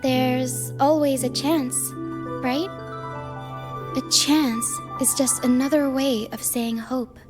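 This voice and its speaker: A woman's voice: sits high, fairly even intonation, takes it slowly. A woman voicing a hopeful, whimsical young girl in a video game, earnest and musing. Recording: music behind the voice. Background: music.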